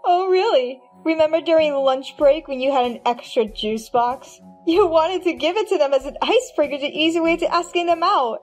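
A woman's voice: sits high, normal speed, hardly any pauses, faint music behind, lightly acted, low-quality recording. A woman voicing a peppy, teasing teenage best friend in an anime roleplay audio drama, with a playful manner.

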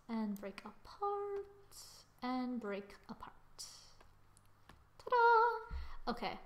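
Young adult female tutorial presenter, higher-pitched, informal, thinks out loud while working. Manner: informal, thinking aloud, muttering asides and sing-song filler. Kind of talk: casual screen-recorded software tutorial. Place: indoors, voice-over to a screen recording.

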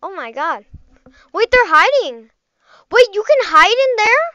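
Bright, high-voiced woman narrating gameplay. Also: sing-song, measured pace, dry recording, lo-fi sound. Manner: chatty, slangy, reacting out loud. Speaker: gameplay streamer. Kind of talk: casual live game commentary.

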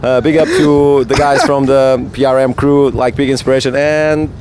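A man's voice: medium pitch, level tone, normal speed, noisy background. A man in his thirties from Portugal with a Portuguese accent, chatting as a guest on a rooftop, hesitant with many fillers and restarts. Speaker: guest artist. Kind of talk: casual interview chat. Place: hotel rooftop terrace outdoors. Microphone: handheld mic.

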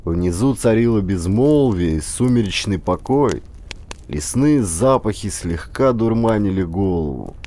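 Low, mellow male audiobook narrator. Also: animated, measured pace, a little hiss or hum. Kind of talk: scripted dramatic audiobook narration of an adventure novel.

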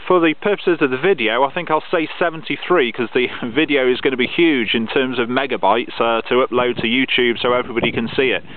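A male amateur radio operator chatting over a contact outdoors, reading out call signs in a procedural way. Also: medium pitch. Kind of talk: ham radio contact chat. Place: outdoors on open grassy hilltop. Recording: narrow phone-like sound with radio noise.

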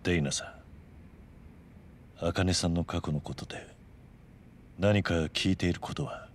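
An actor voicing a stoic, grave middle-aged tough man who speaks in a measured, terse, serious way in a video game cutscene. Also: low voice, a little hiss or hum.

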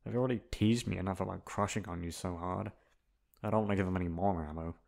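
Casting: male actor as dominant, flirty best friend turned lover for ASMR roleplay; low, gravelly, unhurried teasing. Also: fairly even intonation, a little mellow.

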